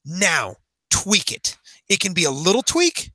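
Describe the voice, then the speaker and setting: A man with a fairly high, forceful, bright voice talks casually as a podcast co-host. He is enthusiastic and emphatic, often repeating himself. The sound is close and dry. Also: wildly swooping pitch, a little slow, dry recording. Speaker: podcast co-host and fantasy author.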